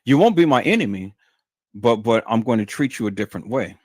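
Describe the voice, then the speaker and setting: A man's voice: medium pitch, expressive, fairly quick, a little mellow, no echo, studio quality. A man hosting a livestream, talking in a stern, emphatic, lecturing way to his viewers. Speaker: livestream host. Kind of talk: unscripted livestream monologue.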